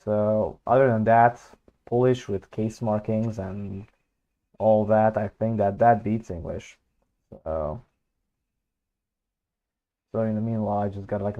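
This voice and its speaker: A man's voice: fairly low pitch, warm tone, highs cut off. A young man whose first language is Hungarian, chatting casually on a livestream and thinking aloud, hesitant with many fillers and restarts. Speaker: streamer.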